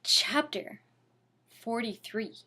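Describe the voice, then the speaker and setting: A woman's voice: fairly high pitch, drawn-out words, pushed and bright, slightly rough. A woman giving a comedic dramatic reading, theatrical and mock-sorrowful, with wry asides. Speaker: reader.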